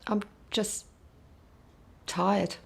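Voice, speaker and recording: An actress playing a loving, unwell mother who speaks softly and hesitantly in a radio drama. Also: mid-range voice, flat delivery, very slow, gravelly, husky, heavily acted. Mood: fragile. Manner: soft, gentle, hesitant. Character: loving mother, fragile, unwell, proud of son.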